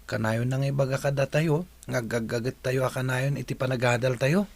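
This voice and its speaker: A man playing a diligent, proud young student in a radio drama, with a creaky voice and earnest manner. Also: mid-range voice, steady tone, a little fast. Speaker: voice actor.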